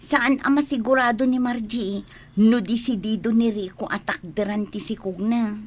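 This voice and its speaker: A woman's voice: on the high side, fairly even intonation, normal speed, a little mellow, lightly acted. Actress as a concerned young female friend. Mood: worried, pitying. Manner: concerned, familiar. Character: loyal, sympathetic young woman, friend and niece figure. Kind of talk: radio drama acting.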